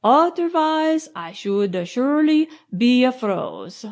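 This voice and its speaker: A woman's voice: sits high, very animated, slow, a little mellow, full character voice. A woman voicing a dapper young foreign count in an audiobook, speaking careful English with a foreign accent, politely and serenely. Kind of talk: audiobook character voice.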